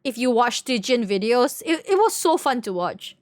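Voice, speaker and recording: A woman's voice: fairly high pitch, big pitch swings, normal speed, no echo. A woman livestreamer chatting casually with viewers in a rambling, slangy way, often repeating her phrases. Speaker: game livestreamer. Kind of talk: casual stream chat with viewers.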